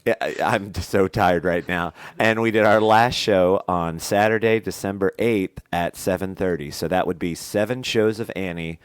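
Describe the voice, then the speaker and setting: Deep-voiced man with a head cold. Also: normal speed, few pauses, smooth, no echo, clean studio sound. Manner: rambling, chatty, many fillers. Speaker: podcast co-host. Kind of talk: casual chat.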